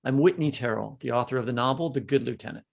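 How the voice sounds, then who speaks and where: A man co-hosting a podcast in a conversational, joking style that turns halting and rambling off script. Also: mid-range voice, gravelly. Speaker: podcast co-host.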